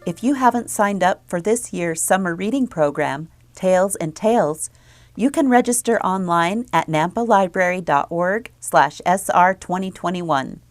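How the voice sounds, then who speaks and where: A woman library presenter reading scripted announcements and children's book reviews, clearly enunciated. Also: lowish voice, expressive, normal speed, dry recording, faint music behind.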